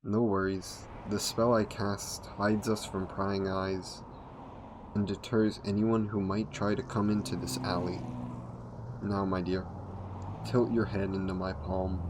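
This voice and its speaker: A man's voice: low-pitched, level tone, background noise. A man playing a courtly, seductive vampire in an intimate roleplay audio, speaking formally and coaxingly to the listener. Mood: seductive, soothing. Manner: formal, gentlemanly, coaxing, unhurried. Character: courtly, seductive male vampire, hungry and predatory.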